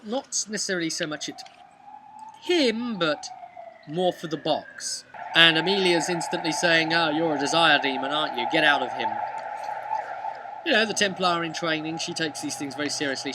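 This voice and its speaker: A young man casually recapping a tabletop game as game master, halting at times and emphatic, recorded outdoors. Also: a little high, bright, forceful tone. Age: young adult. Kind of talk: casual vlog storytelling. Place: outdoors on a bench by a brick house. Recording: outdoor webcam with background noise.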